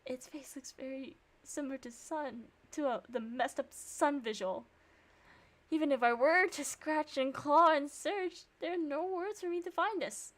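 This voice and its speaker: A woman streamer reading visual novel narration and dialogue aloud, with sarcastic, expressive reactions. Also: high-pitched, unhurried.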